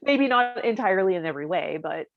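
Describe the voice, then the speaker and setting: A woman chats casually as a podcast co-host in a mid-pitched voice. She comes across as giggly and gently self-deprecating, joking along as she talks. The audio is dry and slightly dull, with no room sound. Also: lively intonation, quick pace, no echo. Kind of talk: casual comedic chat.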